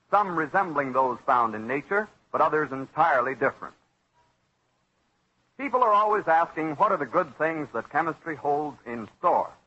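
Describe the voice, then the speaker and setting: Male American radio announcer, 1940s style: forceful, slightly higher-pitched, formal and measured, on a dull old recording. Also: slightly rough. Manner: formal, measured, earnest delivery.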